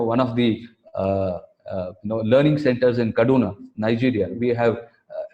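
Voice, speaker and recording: Man, webinar panelist, hesitant with many fillers, mid-pitched, muffled call audio. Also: a little mellow. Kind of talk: webinar talk. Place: calling in from home by video call. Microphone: video call.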